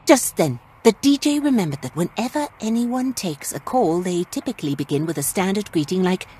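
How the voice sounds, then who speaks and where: An actress voicing a wry narrator in a video game, reading scripted narration. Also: female voice, mid-range voice, animated, brisk, warm tone, slightly rough.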